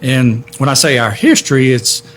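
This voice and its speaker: A middle-aged man from Texas, a history center director being interviewed, speaking in a measured way with many filler words. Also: medium pitch, fairly bright tone, a little hiss or hum. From born in Hawaii, raised in Texas. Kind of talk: conversational interview.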